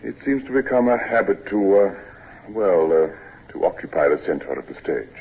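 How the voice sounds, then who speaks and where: An actor playing a weary, ailing older naval captain, stern but kindly, in a measured, hesitant old-time radio drama style. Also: male voice, low-pitched, very animated, husky, full character voice. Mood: firm, admonishing. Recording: dull old radio recording.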